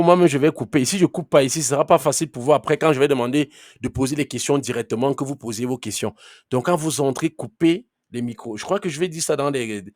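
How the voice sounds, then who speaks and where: A man leads a live online class through a computer mic on a video call, with a dry sound and no room echo. His pitch sits in the middle range. He talks conversationally, keeps checking in with his students, and firmly tells latecomers to mute their mics. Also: a little mellow. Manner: firm with late students about muting. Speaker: trainer.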